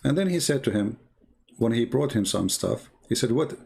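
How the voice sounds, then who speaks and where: A man who is not a native English speaker gives informal, mocking commentary as he retells and reads out stories, in a halting, repetitive way. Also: medium pitch, lively intonation, gravelly, a little mellow. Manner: sardonic, mocking. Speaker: commentator presenting religious texts. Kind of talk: informal polemical commentary, retelling and reading aloud.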